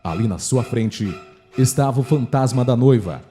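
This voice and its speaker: A Brazilian man narrates a scripted horror story. His voice is mid-pitched and mellow, reading as the narrator of a radio drama. Faint music plays behind him. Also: expressive, normal speed.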